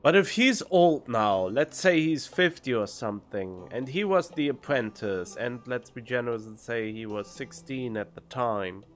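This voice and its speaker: A male game streamer with a medium-pitched voice thinks aloud in casual, halting commentary. He is close to the microphone, with faint plucked guitar music in the background. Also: expressive. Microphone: close studio condenser microphone.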